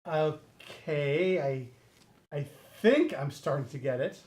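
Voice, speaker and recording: A man's voice: a little high, animated, slow, a little mellow. A man acting a timid, newly promoted manager in a satirical stage play reading, hesitant and stammering. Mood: uneasy. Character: timid, nervous, newly promoted male manager, reasonable and doubtful.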